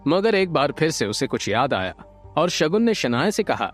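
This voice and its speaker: A man narrating an audio drama from a script, expressive and building suspense. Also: on the high side, normal speed. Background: light background music.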